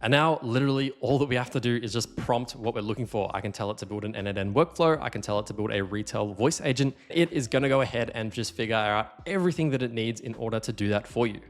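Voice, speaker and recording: A man hosting a casual software tutorial, explaining things conversationally and stressing the key points. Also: mid-range voice, quick pace, hardly any pauses. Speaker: tutorial host.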